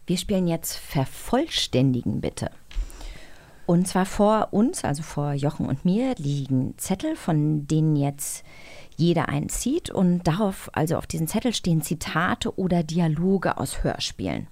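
Slightly low-voiced woman co-hosting casually. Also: sing-song, a little slow, a little mellow. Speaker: co-host. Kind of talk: casual chat.

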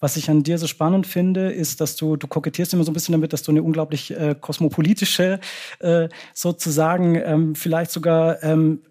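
Male moderator on stage, slightly high voice, hesitant and filler-heavy. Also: level tone, fairly quick, warm tone. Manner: hesitant, many fillers and restarts. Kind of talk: live stage interview. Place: cultural centre stage with audience.

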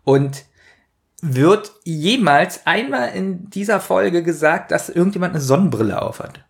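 A man's voice: sits high, animated, a little slow, gravelly. A man co-hosting a podcast in casual, colloquial banter about audio dramas. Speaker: podcast host.

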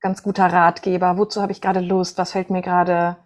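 A woman's voice: on the low side, flat delivery, a little fast, darkish tone. Woman from northern Germany, interview guest. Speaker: voice coach and opera singer, podcast guest. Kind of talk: conversational interview answers.

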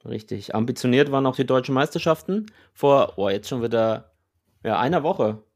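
A man hosts a podcast in an even, mid-range voice that sits right in the middle for a man. He talks in long explanatory stretches, pausing often on filler sounds as he gathers his thoughts. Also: mid-range voice. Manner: explanatory, with frequent filler pauses. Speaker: podcast host. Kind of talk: podcast conversation.

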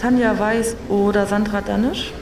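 A woman's voice: mid-range voice, fairly even intonation, moderate pace, background noise, natural voice. A woman playing a determined, irritable traveller with a dry, resolute manner in a sci-fi audio drama. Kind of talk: German science-fiction audio drama. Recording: roomy, distant mic, music behind.

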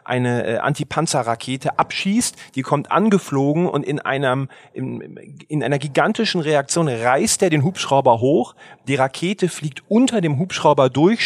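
A man's voice: on the high side, no room sound. Man telling a vivid story, dry studio sound. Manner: vivid, animated retelling with many restarts. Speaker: journalist and podcast guest. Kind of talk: conversational storytelling.

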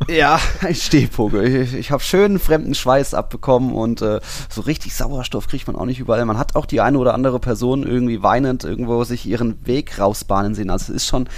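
A man's voice: medium pitch, fairly quick, few pauses, no room sound. A man hosting a football podcast, explaining things in an informal, conversational way. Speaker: podcast host. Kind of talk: casual football podcast talk.

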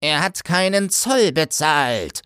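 A man voicing a greedy water rat in a storybook reading, with a high, demanding voice. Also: big pitch swings, slow, fairly bright tone, clean tone, no echo, clean studio sound, exaggerated voice. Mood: shrieking. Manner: shrill and demanding. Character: shrill, grasping big water rat.

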